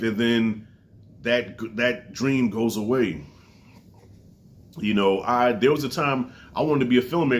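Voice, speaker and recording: A man in his forties with a stuffy, congested nose, casually reviewing a comedy special to camera, loose and profane with big swings in pitch.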